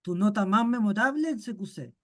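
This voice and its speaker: A man's voice: sits high, expressive, fairly quick. A Chilean man asking questions as an interviewer in a casual conversation.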